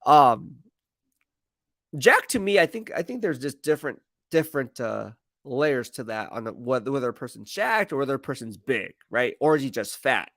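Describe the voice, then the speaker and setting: A man talks off the cuff as a football streamer. His mid-pitched voice has a creaky, gravelly edge and sits dry, without room sound. He rambles in slangy, emphatic phrases and often repeats himself for weight. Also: very animated, moderate pace, a bit bright, no room sound. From San Francisco Bay Area, United States. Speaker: sports streamer and football commentator. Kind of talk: casual live stream chat, answering viewer comments.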